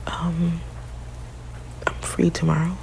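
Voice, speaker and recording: A woman whispering softly and haltingly in an ASMR partner roleplay, often restarting her sentences. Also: on the low side, completely flat delivery, vocal fry, slight background noise, low-quality recording.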